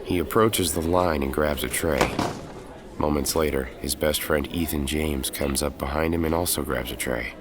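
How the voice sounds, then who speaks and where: A man's voice: rumbling low, fairly even intonation, moderate pace, heavy background noise. A man giving scripted narration for an audio drama, telling the story in the present tense. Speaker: narrator and lead character. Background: music.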